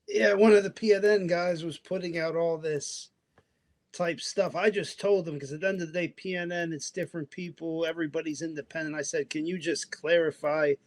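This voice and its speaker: A man's voice: a little high, steady tone, a little fast. A man who grew up in the United States, talking politics on a podcast in a rambling way, full of restarts. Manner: rambling, many restarts and asides. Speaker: podcast guest. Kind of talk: casual political discussion.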